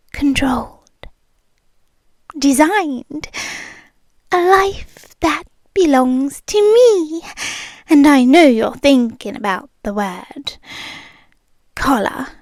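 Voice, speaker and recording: A young British woman voicing a calm, controlling rich girlfriend in an ASMR roleplay, speaking slowly and softly and often whispering close to the mic. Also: sits high, no room sound, full character voice. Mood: possessive. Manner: slow, soft-spoken, often whispering close.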